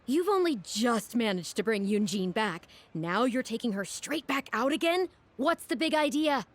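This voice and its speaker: A woman's voice: a little high, animated, normal speed. A woman voicing an anxious, easily exasperated young female opera troupe manager in a video game, flustered and pleading.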